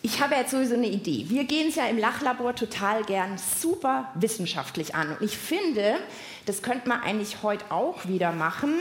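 A woman's voice: on the high side, expressive, normal speed, a little hiss or hum. A woman hosting a children's science show live, chatting casually and putting playful questions to the audience. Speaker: host of a children's science podcast. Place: live on stage at a podcast festival.

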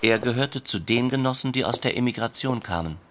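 A man's voice: medium pitch, brisk, a bit bright, slight background noise, lightly acted. A man reading scripted literary narration for a radio play.

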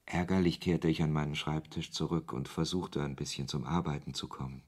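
An actor playing a wry author who narrates a radio play in the first person, in a husky voice. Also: male voice, very deep voice, fairly even intonation, brisk, a little mellow. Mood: wry narration. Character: male author narrating his absurd encounter, wry and irritated.

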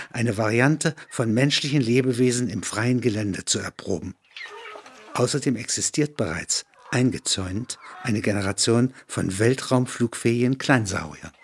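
A man reading scripted literary narration in an audio drama, measured and matter-of-fact. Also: medium pitch, slight background noise.

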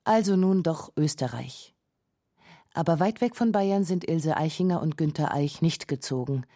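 A woman's voice: low-pitched, normal speed, mellow, no echo, studio quality. A woman narrating a radio feature from a script. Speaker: documentary narrator.

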